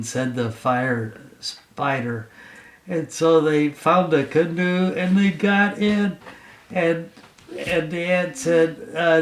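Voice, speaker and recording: Slow-talking father in a roomy space. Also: fairly high pitch. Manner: halting, simple playful narration for a child. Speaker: father telling a bedtime story. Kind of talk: improvised bedtime storytelling. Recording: roomy home recording.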